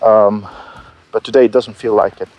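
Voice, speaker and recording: A man talking through his creative doubts in an unscripted vlog, halting and self-critical, with many fillers and restarts. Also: lowish voice, fairly even intonation, darkish tone, lo-fi sound. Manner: candid and self-critical, with occasional swearing. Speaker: solo musician vlogging his album process.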